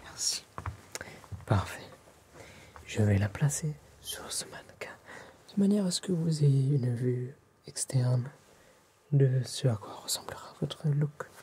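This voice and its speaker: A man doing a soft-spoken, half-whispered ASMR tailor roleplay in a polite, formal, attentive manner. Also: medium pitch, slow, long pauses, noisy background.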